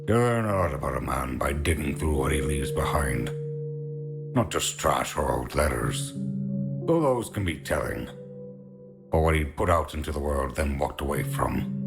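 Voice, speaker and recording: Brooding male noir detective, acted, rock-bottom pitch, crackling fry, deliberate storytelling, audio drama. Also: animated, exaggerated voice. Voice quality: very deep, gravelly. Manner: slow, grave, deliberate. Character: brooding, world-weary 1930s noir detective narrator. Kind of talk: scripted noir narration. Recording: music under the voice.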